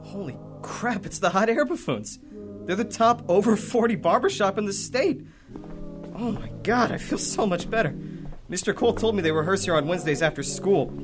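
A man's voice: sits high, expressive, moderate pace, music in the background. A young male actor playing an anxious, earnest teenage schoolboy who takes charge, urgent and exasperated, in a radio drama. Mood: excited. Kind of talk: serial radio drama acting.

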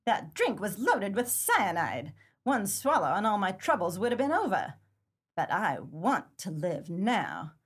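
An actress playing a tough, bitter 1930s gangster moll in a pulp crime radio play, slangy and dramatic. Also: female voice, medium pitch, sing-song, unhurried, slightly rough, heavily acted. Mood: bitter, vengeful. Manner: slangy, hard-boiled, dramatic.

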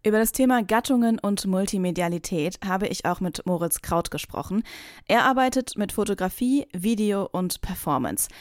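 A woman interviewer asking artists questions and introducing them in a calm, conversational way. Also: medium pitch, moderate pace, dry recording, studio quality. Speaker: interviewer, reporter.

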